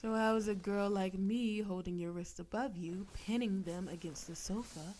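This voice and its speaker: A woman's voice: medium pitch, a little mellow, slight background noise, natural voice. A woman playing a possessive, demon-possessed girlfriend in an intimate audio roleplay, sweetly condescending and teasing with veiled menace. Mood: controlling, menacing. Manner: slow, coaxing, teasing, with pet names and veiled threats.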